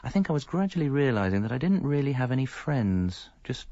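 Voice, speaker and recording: Middle aged british husband owning up to his lies, wry self-mocking radio comedy, gravelly, bit muffled. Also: medium pitch, very animated, fairly quick, warm tone, no room sound. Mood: wry, reflective. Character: self-deceiving married man confessing his double life wryly. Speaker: narrator and lead character. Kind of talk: first-person radio comedy narration. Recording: dull lo-fi sound, faint music.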